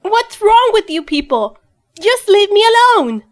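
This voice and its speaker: Brief: a high-pitched young woman as a stubborn, defiant heroine. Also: sing-song, measured pace. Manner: feisty and defiant. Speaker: lead character. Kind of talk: amateur audio drama.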